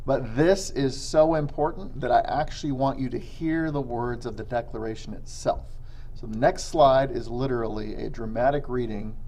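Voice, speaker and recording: A male teacher narrating a lesson, speaking earnestly and deliberately to his students. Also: mid-range voice, a little mellow.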